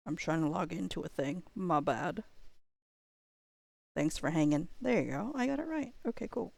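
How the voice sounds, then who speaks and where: A woman live-streaming in casual, meandering chat. Her voice sits a little low, and the sound is dry with almost no room to it. She thinks out loud and drifts easily from one aside to the next. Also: lively intonation, moderate pace, creaky, dry recording. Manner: rambling, easily sidetracked, thinking aloud. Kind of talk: casual livestream chat while playing a game.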